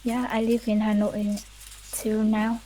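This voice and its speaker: A woman's voice: medium pitch, flat delivery, slow pace, dark tone, slight background noise. A woman, an English student giving short, hesitant answers. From Vietnam.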